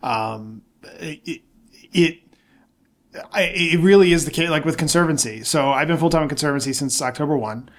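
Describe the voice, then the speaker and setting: A man's voice: on the high side, expressive, normal speed. A man arguing casually on a podcast in an insistent, emphatic way, often repeating himself to press his point. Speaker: nonprofit director and podcast co-host. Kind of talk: casual debate, opinionated discussion.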